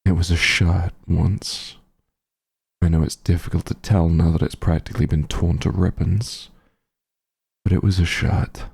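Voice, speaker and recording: A man playing a bitter, menacing fantasy werewolf hunter in an ASMR roleplay, speaking in a cold, deliberate, contemptuous way. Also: low-pitched, flat delivery, creaky, darkish tone, breathy edge, heavily acted. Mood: bitter, grieving. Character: bitter, menacing werewolf hunter grieving his missing friend. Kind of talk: scripted ASMR roleplay, slow dramatic monologue.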